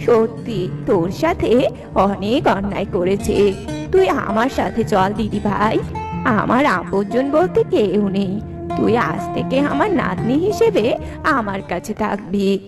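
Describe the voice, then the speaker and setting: A woman's voice: mid-range voice, sing-song, slow pace. A woman voicing a doting, protective old grandmother owl in a children's cartoon. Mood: comforting. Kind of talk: children's cartoon character dubbing. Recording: music behind the voice.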